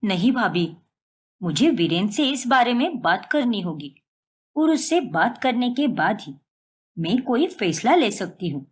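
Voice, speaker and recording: A woman's voice: medium pitch, sing-song, measured pace, slightly rough, pitched below the performer's own voice. A woman voicing an earnest, determined young bride-to-be in a dramatic cartoon family story. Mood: resolute. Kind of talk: Hindi cartoon family melodrama acting.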